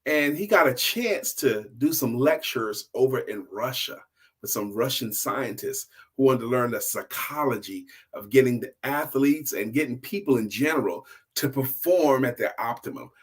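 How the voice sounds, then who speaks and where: A man's voice: medium pitch, slightly rough. Male storyteller, middle-aged. Conversational and emphatic, playing to listeners, acting out bits of dialogue. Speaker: storyteller and sales coach. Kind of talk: casual storytelling to an audience.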